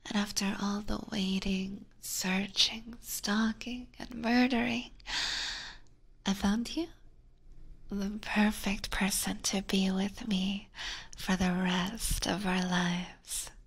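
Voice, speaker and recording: A slow, breathy whisper from a woman playing a seductive vampire catgirl. She is possessive and sweetly menacing, teasing her listener at very close range in an ASMR roleplay. There is a soft, gravelly creak in her delivery. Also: mid-range voice, little pitch movement. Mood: possessive, obsessed. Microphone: close binaural microphone.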